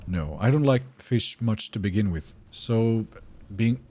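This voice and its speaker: Slow, musing Swedish-accented man. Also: on the low side, expressive, noisy background. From Sweden. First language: Finnish. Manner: thinking aloud, musing and answering chat. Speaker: game streamer. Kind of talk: casual live-stream chat while gaming.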